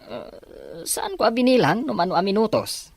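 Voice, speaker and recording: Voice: medium pitch, big pitch swings, normal speed, slightly rough, no echo. An actor playing a deferential henchman to a scheming boss in a scripted audio drama. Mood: evasive.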